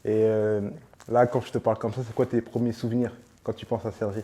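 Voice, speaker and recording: A man's voice: lowish voice, flat delivery, brisk, mellow. A man hosting a casual interview, asking questions in an informal, slangy way with many fillers. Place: on location in a sports venue.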